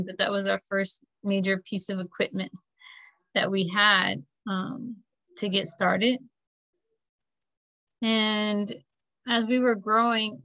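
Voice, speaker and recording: A woman farmer in her thirties walks listeners through her work in a steady, plain-spoken way. Her voice is bright and clear, picked up dry and close by a laptop webcam on a video call. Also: medium pitch, no room sound, rough recording. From Florida, US. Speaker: farmer and technical assistant.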